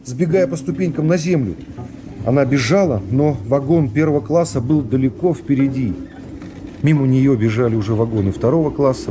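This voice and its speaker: A middle-aged man reading classic prose as an expressive dramatic narration for a radio serial. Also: mid-range voice, noisy background. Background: music.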